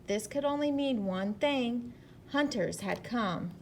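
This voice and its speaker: A woman with a fairly high voice reads and talks to young children like a teacher. Her delivery is expressive and slow, with faint noise behind it. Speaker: teacher reading to pupils. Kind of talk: children's storybook read-aloud and talk.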